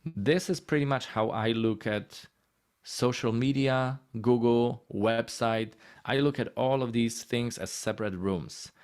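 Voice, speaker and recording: A man's voice: medium pitch, a little slow, darkish tone, clean tone. A man with a Polish accent giving a casual, encouraging presentation in short conversational phrases. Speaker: guest speaker on healthcare marketing.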